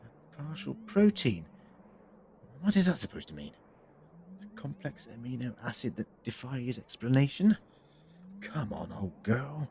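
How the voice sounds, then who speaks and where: Eccentric time-travelling scientist, male actor, mid-pitched, swinging animated delivery, muttering, faint noise behind. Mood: puzzled, musing. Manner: muttering to himself, brisk, quizzical. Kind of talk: audio drama acting.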